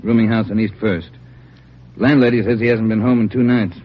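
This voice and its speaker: An actor plays a steady police lab chief who lays out the facts calmly and in order. The voice sits somewhat low. It comes through a dull old radio broadcast. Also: male voice, a little fast, natural voice. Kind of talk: 1950s radio drama acting.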